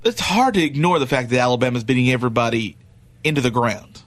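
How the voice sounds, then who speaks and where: Man, medium pitch, sports radio co-host, casual banter. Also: fast talker, background noise.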